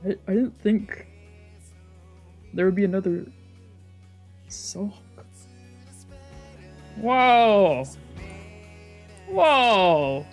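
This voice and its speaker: An excitable game streamer chatting casually in a mid-pitched voice. The talk rambles along with giggly asides, while game music plays behind it. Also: extremely slow, pauses often. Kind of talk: casual let's play commentary with giggly asides.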